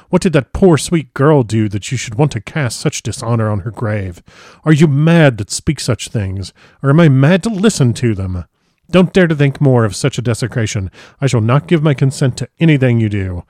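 A man's voice: mid-range voice, no echo, full character voice. Guy doing a grieving young lord in a storybook, worked up and offended, very emphatic, dry recording. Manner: impassioned, emphatic outbursts. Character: passionate, indignant grieving young gentleman, easily outraged. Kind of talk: audiobook character voice.

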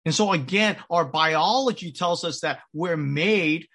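A pastor delivering a sermon with an American accent, measured and pausing, with frequent restarts. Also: male voice, a little high, fairly bright tone. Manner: measured preaching with pauses and restarts.

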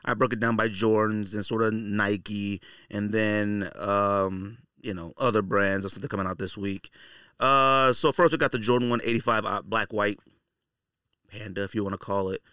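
A man's voice: fairly low pitch, fairly even intonation, normal speed, bright, forceful tone, smooth, no echo, studio quality. A man hosting a casual, off-the-cuff podcast monologue, talking in a slangy, blunt, conversational way. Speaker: sneaker podcast host.

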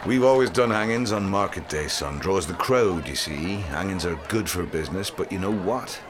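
A man's voice: low voice, animated, moderate pace, noisy background. A man voicing a drawling working-class hangman, a reassuring father instructing his son in a dramatised reading. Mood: proud, instructing.

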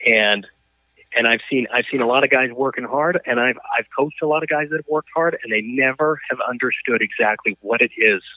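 Halting male guest on a tinny phone line. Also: medium pitch, no echo. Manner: halting, many restarts and fillers. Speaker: guest, coach and athlete. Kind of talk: conversational storytelling in an interview. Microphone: phone or call line.

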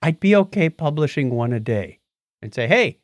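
A man co-hosting a podcast, talking casually through the news in an animated, playful, bantering way. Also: a little high, moderate pace, no room sound. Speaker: podcast co-host. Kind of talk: casual conversational news discussion.

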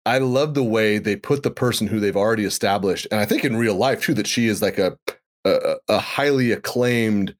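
A man's voice: fairly low pitch, normal speed. A man hosting a podcast, chatting enthusiastically and playfully, with rambling asides. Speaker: podcast host. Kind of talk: casual chat.

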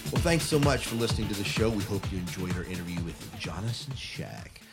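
A man's voice: lowish voice, lively intonation, a little fast, over loud music. A man hosting a podcast in a chatty, informal, casual way. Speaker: podcast host and interviewer.

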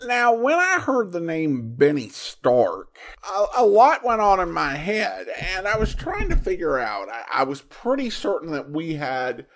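A man hosts a podcast with unscripted solo commentary. His voice sits a little high and swings widely in pitch. He speaks slowly and haltingly, reflecting and digressing as he goes. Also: very animated. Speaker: podcast host.

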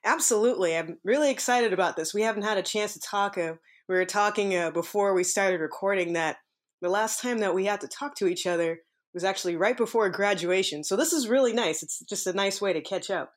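A woman's voice: mid-range voice, brisk. A woman hosting an interview podcast, reading a friendly intro and then chatting with her guest. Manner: upbeat, friendly scripted intro. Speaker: podcast host.

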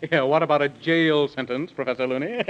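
A man's voice: on the high side, normal speed, lightly acted. An actor playing a silly, chuckling, dithering king who presides over a nonsense court in a 1930s children's radio comedy. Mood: giggly. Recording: muffled vintage 1930s radio recording.

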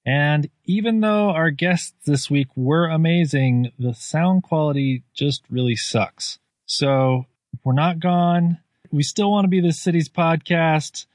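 A man's voice: on the high side, takes it slowly, darkish tone, no echo. A man hosting a podcast, reading an earnest, self-deprecating intro while recording under a comforter in his garage on a cheap microphone. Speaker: podcast host. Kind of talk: scripted spoken intro. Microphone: cheap home microphone.

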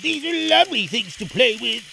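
A man's voice: very high-pitched, big pitch swings, moderate pace, gravelly, background noise, voice pushed up, full character voice. A man voicing an obstinate, earnest boy who speaks in archaic storybook language. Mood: delighted. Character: obstinate, boyish young lad, pleading and breathless.

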